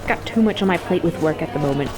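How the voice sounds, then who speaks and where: A woman's voice: on the low side, fast, lightly acted. A woman playing a blunt, casual young friend who is uneasy and exasperated, in a conversational audio drama. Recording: busy crowd murmur behind.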